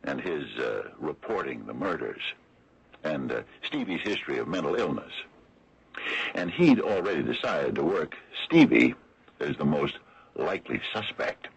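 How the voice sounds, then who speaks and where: A wry small-town lawman in an old radio mystery broadcast. His voice is extremely deep, gravelly and rough, swinging expressively as he draws out each dry, sardonic point with deliberate, folksy hesitation. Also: very animated, dull audio, heavily acted. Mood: measured, knowing. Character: wry, slow-talking older small-town lawman exposing a cover-up. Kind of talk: 1970s radio mystery acting.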